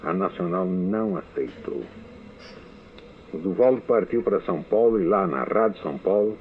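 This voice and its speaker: An older man from Brazil with a Brazilian Portuguese accent, reading his prepared memoir as a recorded testimony, measured and deliberate. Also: deep voice, a little hiss or hum. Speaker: writer giving a recorded testimony. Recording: tinny phone-like archive radio recording.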